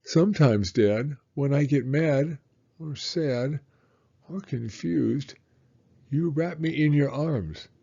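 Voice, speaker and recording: A man's voice: fairly high pitch, slow pace, vocal fry, dark tone, husky, lo-fi sound, full character voice. A man voicing a cheerful young boy in a storybook, talking eagerly and affectionately to his dad. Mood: affectionate, wondering.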